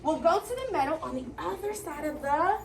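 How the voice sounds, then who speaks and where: Woman reader as little billy goat, young, male, clever, pleading, high squeaky pitch, roomy storybook recording. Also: animated, fairly quick, distant mic, background noise. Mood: bright, confident. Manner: trembling, stammering pleas to the troll.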